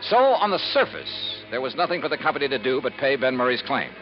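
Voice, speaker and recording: A muffled old radio recording with music carries an actor as a brash male investigator. His delivery is clipped and wry, swinging freely in pitch like a hard-boiled detective telling his own case. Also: sing-song, fast talker, natural voice. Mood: suspicious, narrating. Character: brash, shrewd freelance insurance investigator, a man. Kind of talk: 1950s radio drama acting with first-person narration.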